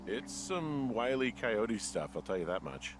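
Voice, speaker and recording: An American actor playing an earnest, dryly humorous male fire lookout in a video game, speaking in a conversational, halting way. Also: medium pitch, sing-song, background noise, close to an everyday voice. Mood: matter-of-fact. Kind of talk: naturalistic video game voice acting.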